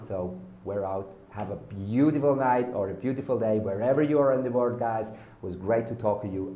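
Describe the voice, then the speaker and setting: A man's voice: lowish voice, dark tone, lo-fi sound, a little hiss or hum. Hyped German-accented man in an echoey room. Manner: hyped, salesy, repeats buzzwords. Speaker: online marketing vlogger. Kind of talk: casual direct-to-camera sales talk.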